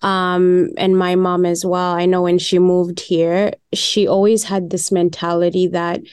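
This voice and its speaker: A woman's voice: lowish voice, little pitch movement, a little slow, darkish tone, smooth, no room sound. A woman interviewer asking long, hesitant questions with frequent restarts. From grew up under a British system before Canada. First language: English. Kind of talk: conversational interview.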